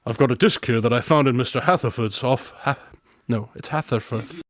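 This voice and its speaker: A man's voice: mid-range voice, fairly quick, heavy background noise. A man, a voice actor, in playful, mock-dramatic outtake chatter and line rehearsal.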